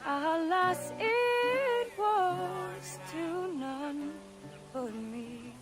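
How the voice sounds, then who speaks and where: A wistful folk singer in a game cutscene. Her voice is very high and unfolds very slowly over clear fiddle music. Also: animated, heavily acted. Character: wistful woman singing an old parting ballad.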